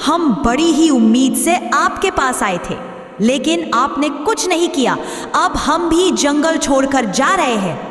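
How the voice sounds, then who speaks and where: A woman's voice: sits high, expressive, unhurried, a bit bright. A woman voicing an earnest, insistent female forest animal in a cartoon, pleading and reproachful. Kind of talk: animated moral story dialogue. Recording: echoey reverb, distant from microphone.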